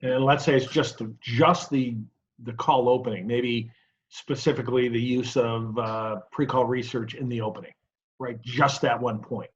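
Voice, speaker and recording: Middle aged guy interview host, husky voice, talks in short chunks, kinda lo-fi sound. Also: medium pitch, steady tone, moderate pace. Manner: breaks points into short phrases, uses personal anecdotes. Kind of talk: conversational interview.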